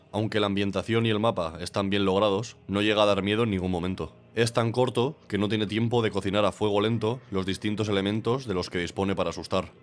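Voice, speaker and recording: A man with a stuffy, congested voice from a cold, reading a scripted game review with dry, sarcastic humor. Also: on the low side. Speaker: game reviewer, channel host.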